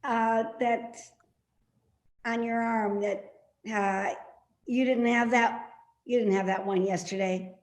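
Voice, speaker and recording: A woman's voice: a little high, steady tone, slow pace, long pauses. An actress playing a fussy, suspicious older mother in a naturalistic play reading, with a pedantic, contrary way of talking. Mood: hesitant, probing. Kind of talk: naturalistic readers theater acting.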